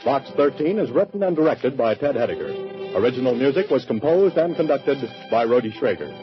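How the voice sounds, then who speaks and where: A man's voice: mid-range voice, big pitch swings, brisk. A man announcing scripted credits in a 1940s radio style. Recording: muffled vintage radio recording. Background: loud theme music.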